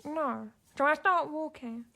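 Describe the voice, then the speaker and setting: High-pitched, halting teenage girl. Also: vocal fry, pushed and bright, heavily acted. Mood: shaken, recounting. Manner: halting, insistent. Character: frightened, insistent deaf teenage girl witness. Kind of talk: naturalistic radio drama acting.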